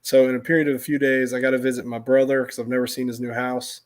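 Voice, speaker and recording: A man's voice: medium pitch, little pitch movement, fast. A middle-aged man with an American accent chats casually on a livestream, telling stories in a rambling way and often interrupting himself. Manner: rambling, self-interrupting storytelling.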